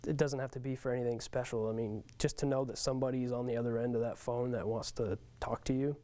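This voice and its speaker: A man's voice: medium pitch, fairly even intonation, fast talker, mellow. A male military veteran giving earnest, plain-spoken, unscripted interview answers.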